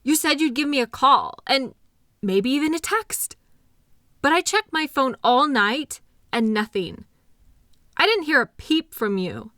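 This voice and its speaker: A woman acting a hurt, anxious girlfriend in an intimate audio drama, emotional, accusatory and halting as she argues with her partner. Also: high-pitched, animated, sharp tone, dry recording, clean studio sound, full character voice. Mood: hurt, accusing.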